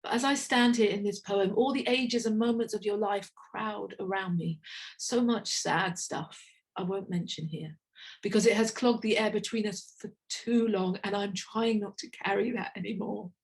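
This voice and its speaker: A middle-aged woman from England performs her own spoken-word poem in a slow, intimate, reflective way. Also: medium pitch. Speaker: poet. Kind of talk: spoken word poetry reading.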